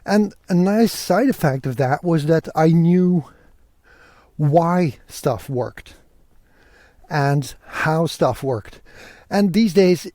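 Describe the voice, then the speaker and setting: A man's voice: a little high, animated, takes it slowly, pauses often, warm tone, no echo. A man from Belgium hosting a podcast in a casual, conversational and enthusiastic way, talking solo while out walking. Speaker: podcast host. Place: outdoors, walking through countryside fields. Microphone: portable podcasting rig.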